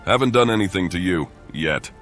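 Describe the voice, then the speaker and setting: A grim fantasy game warrior with a deep voice. He is terse, dry and unfazed, with faint music behind him. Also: animated, brisk, a bit bright. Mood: cold. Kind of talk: video game character acting.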